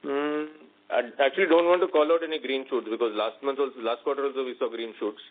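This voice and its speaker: A man with an Indian accent answers as a company executive on an earnings call, explaining business in a halting, measured way. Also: medium pitch, steady tone. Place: conference call. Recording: narrow phone line with faint noise and hum.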